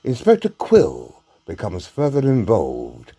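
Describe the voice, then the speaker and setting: A man's voice: fairly low pitch, wildly swooping pitch, slow, creaky, mellow, husky. A man giving a scripted audiobook introduction as the narrator announcing an episode. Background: violin music.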